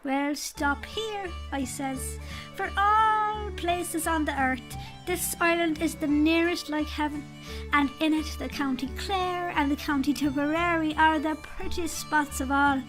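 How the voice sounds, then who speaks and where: A woman's voice: sits high, unhurried, low-quality recording. A woman playing a small, wily, proud leprechaun king with a sly, folksy way of talking in an audio drama. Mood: proud, wistful. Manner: folksy, sly, teasing storytelling. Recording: music behind the voice. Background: music.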